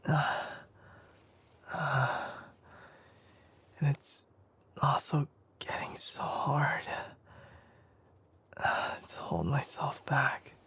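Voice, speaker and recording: A man playing a flustered, formal young fox-eared forest scholar in an intimate ASMR anime roleplay, hesitant and stammering, often slipping into a breathy whisper. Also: medium pitch, lively intonation, extremely slow, pauses often, sharp tone, full character voice. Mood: breathless, yearning.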